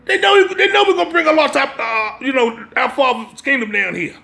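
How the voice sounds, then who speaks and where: High-pitched, gravelly American man preaching emphatically. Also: brisk, bright, forceful tone. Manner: emphatic, exhortative, repeatedly checking listeners understand. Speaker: religious teacher. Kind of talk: impromptu sermon with brief reading.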